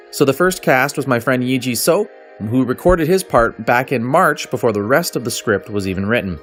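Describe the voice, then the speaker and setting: A man, an actor, reading scripted behind-the-scenes notes. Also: medium pitch.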